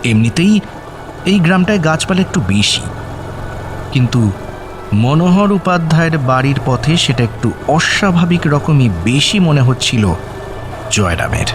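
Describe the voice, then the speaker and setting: A man's voice: on the high side, lively intonation, a little slow, pauses often. A man narrating an audio story in a dramatic, scripted style. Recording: music bed under narration.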